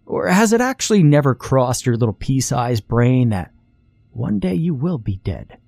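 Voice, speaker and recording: A man's voice: sits low, measured pace, darkish tone, no room sound, clean studio sound, soft background music. A man voicing a sullen, morbid boy who talks with mocking sarcasm. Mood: sarcastic.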